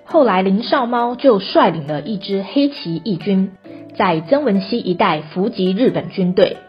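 A woman's voice: mid-range voice, animated, normal speed. Taiwanese Mandarin woman narrator, slightly dull sound. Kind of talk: scripted storytelling narration for radio. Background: music.

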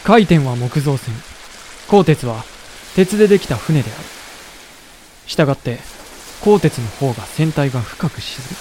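A man acting a loyal deputy leader who narrates a historical war audio drama in a deliberate storytelling delivery. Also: mid-range voice, lively intonation, darkish tone, slight background noise, close to an everyday voice. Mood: tense narration. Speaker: narrator and character.

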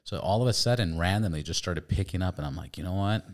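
A man's voice: low-pitched, quick pace. A man hosting a podcast, chatting casually and telling stories with loose, swearing animation. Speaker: podcast host. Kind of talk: casual chat.

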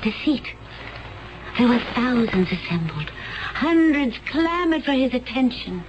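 A high-voiced, intense otherworldly woman. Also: sing-song, measured pace. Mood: haunted confession. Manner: intense, solemn, literal. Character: tense, earnest young woman claiming to be from another planet. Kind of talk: 1970s radio drama acting. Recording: muffled, noisy 1970s radio broadcast recording.

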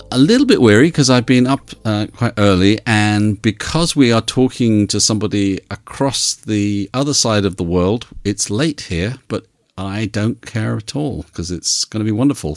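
A man co-hosting a podcast, introducing the episode and the guest in a measured, reflective way. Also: fairly low pitch, no echo, clean studio sound. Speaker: podcast co-host.

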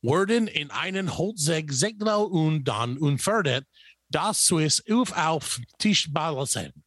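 A man voicing an emphatic, deadpan German announcer reciting game rules, with a put-on German accent. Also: fairly high pitch, slow pace, dry recording, full character voice. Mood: deliberate, emphatic.

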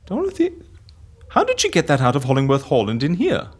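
Excitable schemer, theatrical musing delivery, very animated swoops, husky edge, comedy audio drama. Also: male voice, on the high side, a little fast, heavily acted. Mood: musing, breezy. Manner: theatrical, musing, breezily unconcerned. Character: excitable scheming man running a death-faking agency.

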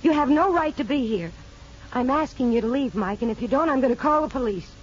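An earnest, anxious young wife in an old radio melodrama. Her voice sits fairly high, hesitant and pleading. The 1950s recording is dull with clear background noise. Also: animated, a little fast. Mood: frightened, defiant. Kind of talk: 1950s radio drama acting.

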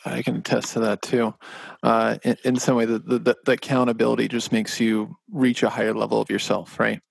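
Gravelly-voiced man host, dry sound. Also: mid-range voice, little pitch movement, a little fast, no room sound. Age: 20s. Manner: brief, affirming interjections and questions. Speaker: podcast host. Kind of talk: casual conversational interview.

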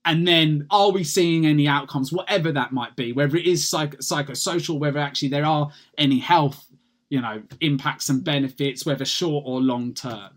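British man, energetic and passionate, slightly high-pitched, rambling podcast guest. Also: normal speed. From United Kingdom. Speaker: guest patient advocate. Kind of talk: casual podcast interview.

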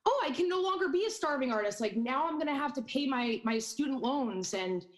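A woman in her thirties from the Hudson Valley in New York, a podcast guest who chats casually with quick, jokey asides. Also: sits high, animated. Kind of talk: casual interview chat.

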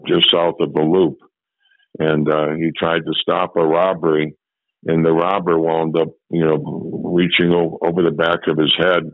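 Older man, seventies, very low voice, halting storyteller, dry phone-line sound. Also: no room sound. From Chicago, USA. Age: 70s. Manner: halting, repeats and restarts phrases. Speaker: interviewee, former policeman. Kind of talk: reminiscing in a phone interview. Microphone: telephone.

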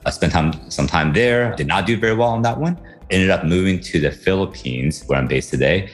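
A man's voice: low-pitched, a little fast, soft background music. A man from Taiwan and the US answering interview questions conversationally, with frequent fillers. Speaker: guest author.

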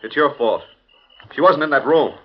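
An actor playing a panicky, paranoid escaped mental patient in an old radio drama, shouting accusations. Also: male voice, mid-range voice, moderate pace, natural voice. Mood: frantic. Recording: dull old radio recording.

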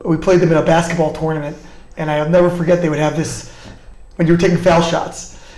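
A man hosting a podcast interview in a chatty, curious, casual way, quick to react to what he hears. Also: on the high side, level tone, darkish tone, echoey room, low-quality recording. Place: indoor office in a parliament building.

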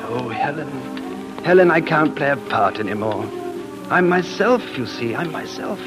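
Anguished young gentleman, played by a radio actor, music underneath. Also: on the high side, animated, normal speed, lightly acted. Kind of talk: 1940s radio acting.

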